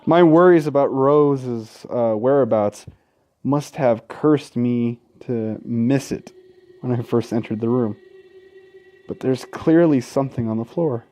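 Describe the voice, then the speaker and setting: A man doing gaming commentary, reading a visual novel aloud with casual, sweary asides and an expressive, dramatic delivery. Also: mid-range voice, slow pace. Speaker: let's play host. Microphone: close gaming microphone.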